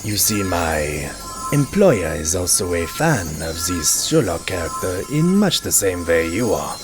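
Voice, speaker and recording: A man playing a sly, coolly menacing griffin henchman in a cartoon audio drama, with a smooth, insinuating manner. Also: deep voice, sing-song, a little slow. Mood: persuading. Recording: music playing behind.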